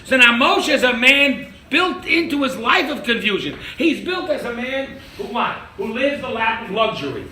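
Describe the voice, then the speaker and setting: An older man teaching a lively interactive Torah class as a rabbi, quizzing his audience and joking. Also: very high-pitched, moderate pace, hardly any pauses. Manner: animated, quizzes the audience, jokes. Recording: echoey room from far off with noise.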